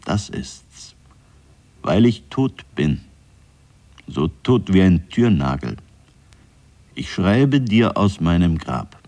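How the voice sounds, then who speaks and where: An older man acting a dead father who speaks wryly from his grave in a radio drama, husky and brooding, with halting phrases. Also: deep voice, very animated, dark tone, exaggerated voice. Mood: wry, weary.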